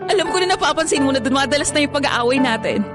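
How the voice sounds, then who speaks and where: A woman's voice: high-pitched, expressive, very fast. A woman with a Filipino accent playing an evasive, weary girlfriend in an online radio drama, reluctant and defensive. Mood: sad, resigned. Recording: music bed under the voice.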